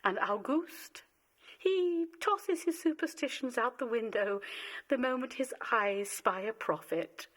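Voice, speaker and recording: Radio play mother, anxious and pleading played by a woman: slow delivery, swooping expressive pitch, deliberate pauses. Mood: wry, pointed. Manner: theatrical, deliberate, dramatic pauses. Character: anxious, emotional wife and mother, superstitious, pleading.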